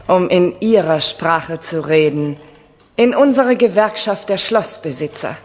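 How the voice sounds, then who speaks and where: Grand scornful lady of the castle, lowish voice, long reverb, old radio drama. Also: slow, pauses often. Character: haughty English castle lady, shrewd and competitive. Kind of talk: 1970s German radio play acting. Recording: reverberant castle hall acoustics.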